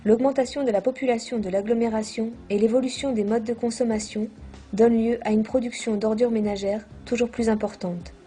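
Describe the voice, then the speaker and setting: Mellow woman narrating a documentary over soft music. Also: on the high side, steady tone. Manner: measured, formal reading.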